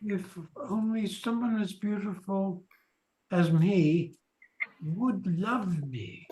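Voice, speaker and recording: Woman acting vain lovesick pretty boy, extremely slow, melodramatic radio comedy, roomy, faint noise. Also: medium pitch, mellow, natural voice. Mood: swooning. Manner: melodramatic, swooning. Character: vain, lovesick, self-adoring beautiful young man.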